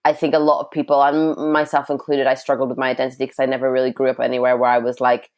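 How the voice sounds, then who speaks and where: A woman's voice: extremely low pitch, little pitch movement, fast, darkish tone. A woman in her thirties, from Sudan and raised in Northern Ireland and Scotland, with an international accent, chatting freely as an interview guest who laughs often. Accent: international mix of Northern Irish and Scottish. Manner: chatty, laughs often, self-described talker. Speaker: guest, writer and filmmaker. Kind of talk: casual interview talk.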